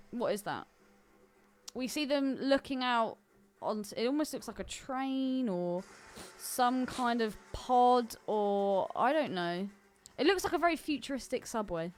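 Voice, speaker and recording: A woman's voice: on the high side, expressive, takes it slowly. A woman co-hosting a film podcast in casual, playful, teasing banter. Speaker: podcast co-host.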